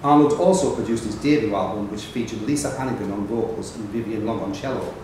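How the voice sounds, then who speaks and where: A man officiating at a live pub quiz in brisk, casual talk, calling on contestants. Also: lowish voice. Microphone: distant room microphone. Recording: echoey room, distant mic, background ambience noise.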